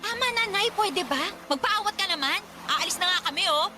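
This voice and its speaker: An actress playing a fed-up, sharp-tongued Filipino mother, sarcastic and taunting, in a Tagalog radio drama. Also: very high-pitched, animated, fairly quick, noisy background. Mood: impatient.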